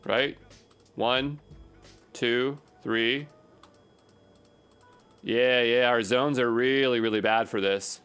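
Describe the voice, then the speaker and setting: A man's voice: mid-range voice, steady tone, slow, pauses often, a bit bright, clear, resonant tone, soft background music. A man giving an unscripted screencast programming tutorial, thinking aloud in a casual, rambling way and often checking himself with a questioning tag. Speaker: programming instructor.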